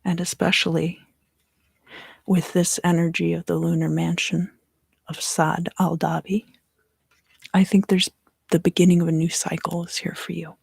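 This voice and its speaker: A woman giving an ASMR tarot reading in a soft, unhurried whisper. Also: fairly low pitch, level tone, creaky, breathy edge, no echo. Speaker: tarot reader and ASMR host.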